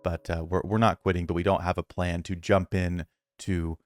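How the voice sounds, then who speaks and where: A man's voice: low-pitched, flat delivery, moderate pace, faint music behind. A man who makes videos about a mobile strategy game, talking casually and earnestly to his viewers, rambling with many restarts and fillers.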